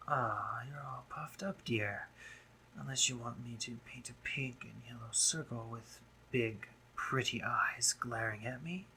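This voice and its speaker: A man playing a doting, teasing gentlemanly spider-monster painter in a romantic roleplay audio drama, with genteel phrasing, hesitant pauses and drops into whispers. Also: medium pitch, a little hiss or hum, heavily acted. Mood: teasing, affectionate.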